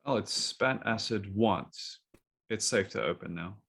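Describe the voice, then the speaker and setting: Slow, deep-voiced man playing a role. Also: creaky, a bit bright. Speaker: player. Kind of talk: playing a character in a tabletop game.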